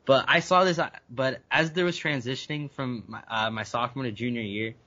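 A man's voice: medium pitch, a little fast, pushed and bright, muffled recording. A young man, a university student from Guyana, answering interview questions earnestly and repeating words as he thinks. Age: young adult.

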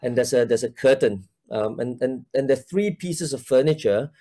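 Male guest, 40s, from Malaysia; mellow, hesitant church minister, heavy on fillers, over a video call. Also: medium pitch, moderate pace. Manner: hesitant, many fillers and restarts. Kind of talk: casual interview talk.

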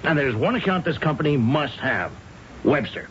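An actor playing a gruff, sarcastic, demanding company boss in an old-time radio drama. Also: male voice, fairly high pitch, lively intonation, moderate pace, fairly bright tone. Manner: curt, sarcastic, pressing. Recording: dull, faintly noisy old radio recording.

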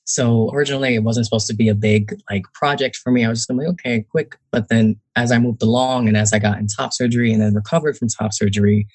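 A man's voice: mid-range voice, steady tone, quick pace, hardly any pauses, darkish tone, no room sound. A young man from New Jersey, a guest author, chatting casually with many fillers and acted-out quotes. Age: young adult. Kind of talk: casual interview talk.

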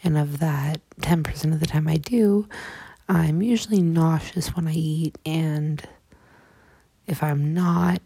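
A young woman in her twenties, hosting a podcast and telling her own story in a soft, halting way, with many fillers and restarts. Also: sits low, husky, no room sound. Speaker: podcast host. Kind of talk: personal storytelling monologue.

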